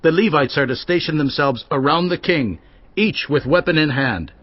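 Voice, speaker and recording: Guy doing a bossy priest in a bible audio drama, highish voice, kinda muffled. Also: normal speed, no room sound, lightly acted. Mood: commanding. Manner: authoritative, giving orders. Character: stern commanding male priest Jehoiada. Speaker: voice actor.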